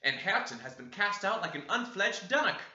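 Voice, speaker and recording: A homely, talkative housekeeper as a male reader plays her in a classic storybook. The voice is very bright and swings in pitch, with chatty emphasis, in a roomy echo. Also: big pitch swings, fairly quick, exaggerated voice. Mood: frank, gossipy. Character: talkative, homely woman housekeeper telling family history. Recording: roomy, echoey, slightly dull sound.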